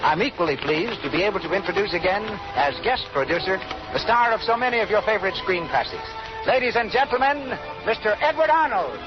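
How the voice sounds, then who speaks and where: A man with a slightly high voice makes formal, polished announcements in 1930s radio style. Music is clearly audible on the muffled old broadcast. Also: expressive, fairly quick. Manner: formal, polished sales delivery.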